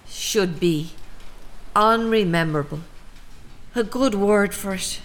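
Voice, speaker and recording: A woman's voice: mid-range voice, takes it slowly, lightly acted. A middle-aged Irish woman playing an ordinary mother with a secret life as an assassin, plain-spoken and wry, in an audio drama. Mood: wry, confessional.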